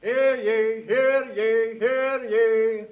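A royal herald, intoning very high on a nearly level note. The proclamation is slow, solemn and ceremonious, from a dull old children's radio drama. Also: male voice, fairly even intonation, heavily acted. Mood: solemn, proclaiming. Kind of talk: 1930s radio acting.

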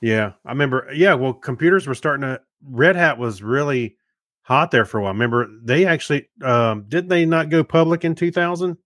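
A man's voice: medium pitch, expressive, fairly quick, a bit bright, dry recording, studio quality. A man co-hosting a casual tech podcast in an easygoing way, laughing often. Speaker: podcast co-host.

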